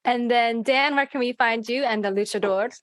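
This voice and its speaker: A woman's voice: fairly high pitch, moderate pace, dry recording. A woman hosting a podcast panel in casual chat, giggly and informal.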